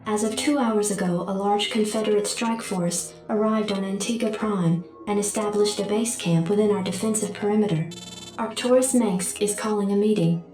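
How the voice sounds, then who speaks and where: A woman's voice: mid-range voice, fairly even intonation, normal speed, darkish tone, lightly acted. A woman playing a military adjutant in a video game, delivering reports in a formal, clipped manner. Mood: formal, neutral. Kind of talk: video game briefing announcer. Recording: heavy echo, distant, music behind.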